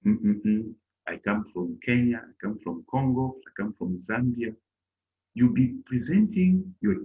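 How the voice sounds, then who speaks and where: A middle-aged man teaching a German lesson to an online class, acting out little dialogues in a raised voice. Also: on the low side. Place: indoor room on a video call. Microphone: laptop mic on a video call. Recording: lo-fi video call audio.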